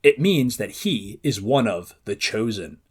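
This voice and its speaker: A man's voice: lowish voice, very animated, measured pace, slightly rough. A man presenting a book preview for young readers, reading aloud expressively and drawing out words for dramatic emphasis. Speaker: host of a children's book preview show.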